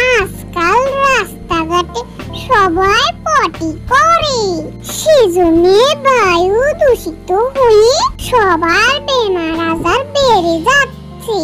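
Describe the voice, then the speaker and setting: A tiny, extremely high voice of a female cartoon bird. She is a clever, bossy wife who speaks slowly and emphatically, scolding and coaxing, over loud music. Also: full character voice. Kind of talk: children's fairy-tale cartoon dialogue.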